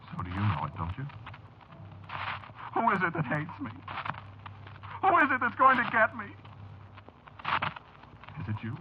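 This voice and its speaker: An actor playing a frightened, unraveling man in an old-time radio horror drama, halting and pleading, as if haunted. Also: medium pitch. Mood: cornered, agitated. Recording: noisy, muffled old radio drama recording.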